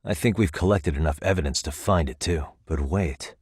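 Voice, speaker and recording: An American man voicing a level-headed, thoughtful detective in a video game, with measured, articulate delivery as he reasons aloud. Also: low voice, breathy edge, no room sound, clean studio sound. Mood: analytical, serious. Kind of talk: scripted game dialogue.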